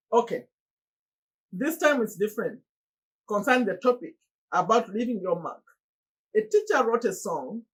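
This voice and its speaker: A middle-aged Ugandan woman speaks in a slightly husky, breathy voice that sits a touch high. She talks straight to her viewers in an earnest, pleading way. Now and then her voice jumps up in animated exclamations. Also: low-quality recording. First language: Luganda. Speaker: motivational YouTuber. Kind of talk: motivational monologue to camera.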